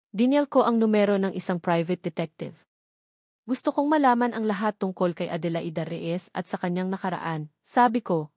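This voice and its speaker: A Filipino woman reads a dramatic love story in the first person. Her pitch is medium and the sound is very dry, with no room around it. She gives dialogue and narration in one and the same voice. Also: a little mellow, no room sound.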